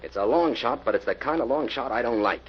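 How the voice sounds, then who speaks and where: A man's voice: mid-range voice, expressive, quick pace, natural voice. An actor in an old-time radio mystery playing a ruthless, scheming bank robber who bosses his partner in criminal slang. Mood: calculating. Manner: bossy, slangy criminal talk. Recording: dull, noisy old radio recording.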